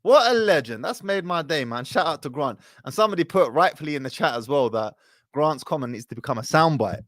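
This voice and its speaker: Fast-talking male call-in show host. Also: fairly high pitch, lively intonation, a bit bright, no room sound, clean studio sound. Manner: informal, emphatic, peppered with Arabic phrases. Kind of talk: casual religious advice to callers.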